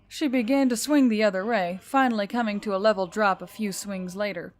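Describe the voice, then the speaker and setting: A woman's voice: medium pitch, steady tone, fairly quick. A woman reading scripted fiction as a storyteller, in a dramatic narrating style.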